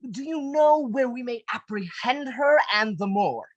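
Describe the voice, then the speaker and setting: A woman's voice: fairly high pitch, animated, normal speed, no echo, lo-fi sound. A woman playing an outraged, grieving noble father in an amateur Shakespeare radio play, declaiming theatrically. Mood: anguished. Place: home, recorded over a video call. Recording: faint raspy video-call recording.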